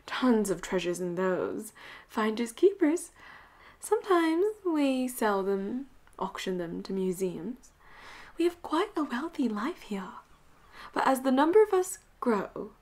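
A woman voicing a flirtatious, teasing, commanding pirate captain in an intimate audio roleplay, often dropping into breathy whispers. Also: a little high.

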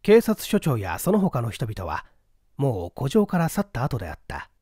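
Male narrator for mystery audiobook: formal, measured storytelling, expressive pitch movement, dry recording. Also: slightly rough, no room sound. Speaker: audiobook reader. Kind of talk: scripted literary narration of a period detective novel.

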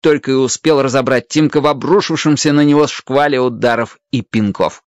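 A man's voice: mid-range voice, a little fast, dry recording. A man narrating a children's adventure story expressively from a script, as a storyteller.

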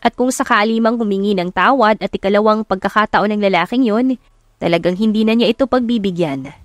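A woman's voice: medium pitch, a little fast, dry recording, clean studio sound. A woman reading a scripted romance story as a dramatic audiobook narrator.

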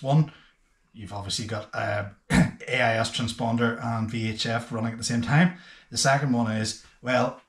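A man's voice: mid-range voice, fairly bright tone. Echoey man explaining casually. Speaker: boating video host. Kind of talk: casual explainer vlog to camera. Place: small indoor workshop room with bare walls. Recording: echoey bare room.

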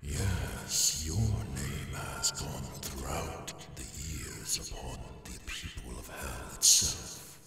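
A man playing an ancient, courtly demon overlord in an ASMR roleplay, with slow, formal archaic speech and a deep, gravelly voice. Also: lively intonation, bright, forceful tone, soft background music, exaggerated voice. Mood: ominous, intrigued. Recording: distant, heavily echoing hall reverb.

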